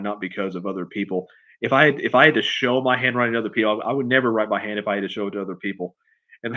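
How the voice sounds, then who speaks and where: A man chatting casually on a livestream as a writer answering questions, in a halting, rambling way, often repeating and restarting his phrases. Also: fairly low pitch, muffled recording. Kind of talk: casual unscripted chat, answering viewer questions.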